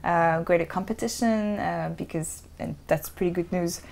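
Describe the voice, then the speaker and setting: A woman's voice: lowish voice, normal speed, creaky, darkish tone. A woman interviewing a guest conversationally, building her questions hesitantly in short phrases.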